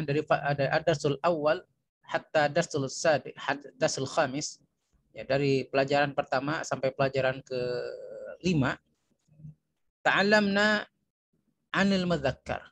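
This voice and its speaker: An Indonesian man gives an online language lesson in a moderately high voice. The sound is dry, with hardly any room on it. He explains in an instructional way and repeats example phrases so learners can follow. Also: a bit bright, no room sound. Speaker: Arabic language teacher.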